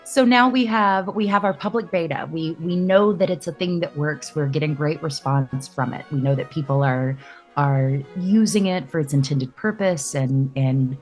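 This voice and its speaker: Female podcaster, somewhat low register, casual promotional chat over a faint music bed. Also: normal speed. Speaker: podcast speaker promoting a project.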